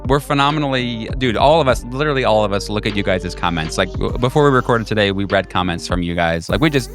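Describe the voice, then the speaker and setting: A man narrating a tabletop horror game as game master, informally, in halting phrases with repeated words. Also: on the low side, soft background music.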